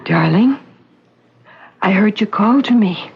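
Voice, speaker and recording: An actress playing a woman who poses as a patient's mother, speaking in a soft, coaxing, soothing way. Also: medium pitch, expressive, slow pace, creaky, breathy edge, dull audio, exaggerated voice. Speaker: visitor posing as the patient's mother.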